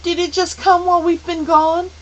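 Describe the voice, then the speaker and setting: A woman's voice: flat delivery, moderate pace, dull audio. A woman voicing an anxious adolescent girl in a storybook, emotional and pleading. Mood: agonized. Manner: high, emotional. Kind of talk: audiobook character voice. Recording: faint hiss.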